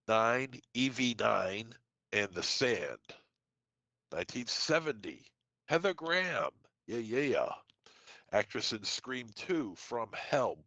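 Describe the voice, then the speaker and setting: A man with a head cold co-hosting a podcast, reading dates and film titles with quick offhand asides. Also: medium pitch, sharp tone, no echo, low-quality recording. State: congested from a cold. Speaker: podcast co-host. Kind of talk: casual podcast segment reading trivia.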